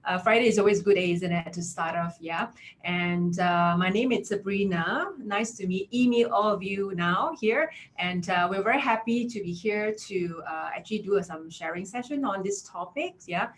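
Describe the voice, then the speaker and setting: A woman's voice: mid-range voice, normal speed. A woman in her thirties from Malaysia with a Malaysian English accent, presenting a career webinar as an HR consultant, chatty and full of "yeah" and "right?". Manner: chatty, filler-heavy, affirming with "yeah" and "right?".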